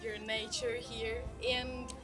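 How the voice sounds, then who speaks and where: Slow-talking young woman. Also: on the high side, level tone. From Italy. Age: 20s. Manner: hesitant, searching for words. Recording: music playing behind.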